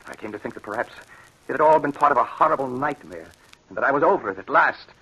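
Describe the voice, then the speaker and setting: A man's voice: medium pitch, animated, fast talker, bright, forceful tone, husky, low-quality recording. An actor playing a haunted, fearful man in an old radio drama, melodramatic and intense, stammering under strain. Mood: grim, haunted. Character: haunted, fearful man tormented by a ghostly cat-woman. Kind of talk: 1940s radio drama acting. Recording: hissy old radio broadcast.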